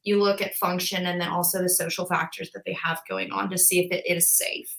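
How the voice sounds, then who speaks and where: Woman, 20s, podcast guest; bright tone, talkative, filler-heavy explainer. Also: on the low side, flat delivery, brisk, gravelly. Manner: chatty, explains with lots of "like" and "um". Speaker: podcast guest, physical therapist. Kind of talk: casual interview chat.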